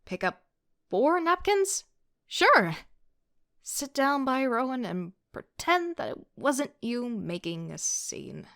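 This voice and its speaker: A woman's voice: high voice, big pitch swings, takes it slowly, a bit bright, exaggerated voice. A woman playing an agitated young student who narrates her own story in an audio drama, sardonic and self-deprecating. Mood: wry, self-conscious. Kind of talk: first-person audio drama narration with outbursts.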